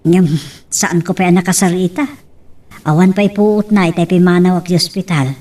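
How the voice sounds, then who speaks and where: A woman's voice: lowish voice, steady tone, normal speed, warm tone, distant mic, natural voice. A woman playing a worried, devout mother in an Ilocano melodrama, speaking with an Ilocano accent. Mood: relieved, concerned. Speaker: voice actor in a drama.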